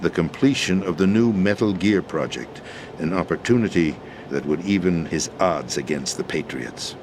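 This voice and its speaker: Man playing a grave, low-voiced deposed president. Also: background noise, close to an everyday voice. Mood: grave, deliberate. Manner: measured, formal, deliberate explaining with weighty pauses. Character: weary deposed president, grave, formal political schemer. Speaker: video game character in a cutscene. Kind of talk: dramatic expository video game acting.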